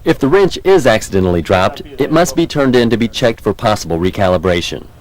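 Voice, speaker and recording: An American man reading scripted training film narration in a measured, formal, instructional manner. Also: fairly low pitch, animated, low-quality recording. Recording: 1990s VHS training film soundtrack.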